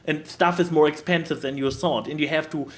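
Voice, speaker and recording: A man's voice: on the high side, fairly even intonation, a little fast, fairly bright tone. A man co-hosting a podcast, talking casually about films and emphatically repeating phrases for stress. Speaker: podcast co-host. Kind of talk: casual film chat.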